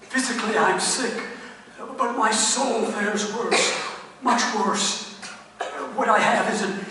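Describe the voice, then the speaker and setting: Roderick Usher, high-pitched, sickly, fretful aristocrat. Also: male voice. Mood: agitated, weary. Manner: halting, theatrical, fretful. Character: Roderick Usher, sickly, agitated, gloomy master of a house. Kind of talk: gothic radio play acting. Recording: very echoey hall, distant mic, faint noise.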